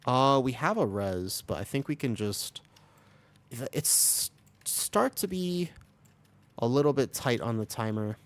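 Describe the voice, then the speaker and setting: Raspy-voiced man streaming gameplay. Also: medium pitch. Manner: chatty, explains tactics while playing, many fillers. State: recovering from being sick, raspy voice. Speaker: streamer playing a tank. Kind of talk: live gameplay commentary.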